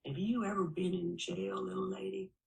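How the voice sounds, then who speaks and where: A man's voice: high-pitched, level tone, normal speed, vocal fry. A woman voicing a stern, folksy small-town sheriff in an audiobook, sarcastic and condescending as he questions someone. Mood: mocking, menacing. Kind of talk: audiobook character dialogue. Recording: echoey room.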